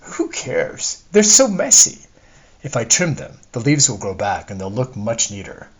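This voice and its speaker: A man's voice: mid-range voice, very animated, unhurried, husky, voice pushed up. A man reading a literary monologue as a wistful, discontented middle-aged wife, confiding and opinionated, in his own everyday voice. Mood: exasperated. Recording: dull lo-fi recording.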